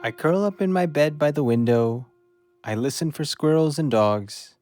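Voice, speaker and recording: An actor playing an eager, devoted pet dog in an audio play, speaking in short, simple phrases. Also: male voice, fairly high pitch, normal speed, darkish tone, faint music behind, lightly acted. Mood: wistful. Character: eager, devoted pet dog watching over his human. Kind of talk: audio drama acting.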